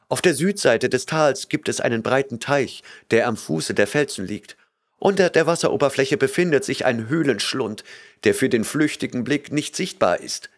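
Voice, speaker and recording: A trembling, terrified young woman pleads and whimpers in a breathless rush, as a male narrator puts her on. Her voice is pitched well above his own, thin with fear. It comes from a storybook reading. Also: close to an everyday voice.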